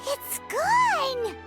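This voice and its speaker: Squeaky, tiny childlike fairy companion. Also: female voice, fairly bright tone, heavily acted. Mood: amazed. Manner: squeaky, wide-eyed, exclamatory. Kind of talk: scripted video game voice acting.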